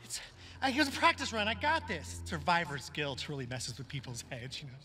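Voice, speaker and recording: An actor playing an insecure, jealous young nerd who brags in a frantic stammer, in a video game. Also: male voice, a little high, huge pitch swings, a little fast, pushed and bright, full character voice. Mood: smug bragging. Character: nerdy, jealous young male wannabe hero, insecure braggart. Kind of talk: video game cutscene acting.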